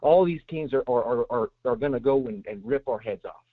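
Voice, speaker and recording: A man's voice: mid-range voice, brisk, no echo. A man calling into a sports show, rambling with lots of fillers as he gives his view. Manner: rambling, many fillers and restarts. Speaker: caller. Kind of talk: call-in sports opinion. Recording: tinny phone line.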